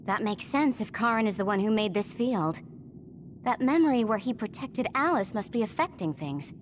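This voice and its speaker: A woman voicing an earnest, expressive young soldier in an anime game. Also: on the high side, moderate pace, a little hiss or hum, natural voice. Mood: concerned. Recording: loud music behind the voice.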